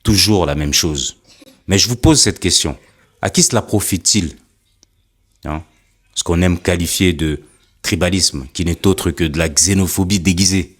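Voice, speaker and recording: Deep-voiced man giving impassioned commentary. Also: normal speed, slightly rough. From Africa. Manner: earnest, rhetorical, repeats questions for emphasis.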